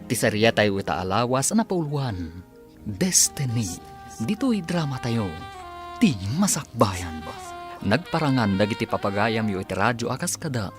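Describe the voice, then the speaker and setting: Lively radio drama announcer. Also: medium pitch, unhurried, music in the background. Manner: formal, presentational. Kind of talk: scripted credits announcement.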